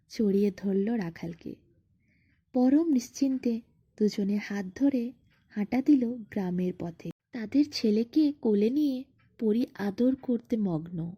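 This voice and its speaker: A woman narrating a bedtime fairy tale expressively, in short phrases with drawn-out dramatic pauses. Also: mid-range voice, slightly rough, highs cut off. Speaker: storyteller.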